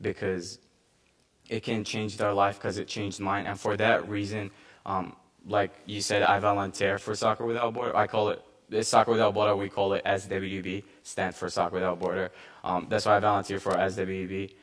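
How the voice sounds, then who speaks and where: Young man, earnest halting testimony, lowish voice. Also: little pitch movement, a bit bright. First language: Arabic. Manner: earnest, halting with restarts and fillers. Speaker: panel speaker, refugee volunteer. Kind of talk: personal testimony at a conference.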